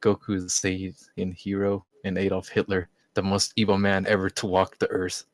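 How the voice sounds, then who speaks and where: A deep-voiced man reads a story in a casual, amateur style. He is amused and trips over words now and then, recorded dry with little room around him. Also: completely flat delivery, moderate pace, dry recording. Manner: informal, amused, stumbling. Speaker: amateur reader. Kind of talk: casual read-aloud of a story.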